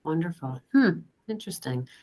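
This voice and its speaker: A woman's voice: deep voice, sing-song, moderate pace, a little mellow. A woman interviewing a guest, encouraging him with frequent short reactions. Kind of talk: interview questions.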